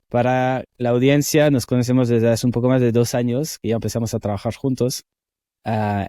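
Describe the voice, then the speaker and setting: A man hosts a podcast in a dry, close-sounding recording. His voice sits at an average pitch. He speaks enthusiastically and fills his pauses with hesitations. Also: no room sound. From France. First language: French. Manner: enthusiastic, warm praise with frequent hesitation fillers. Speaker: podcast host. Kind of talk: scripted intro, then casual interview chat.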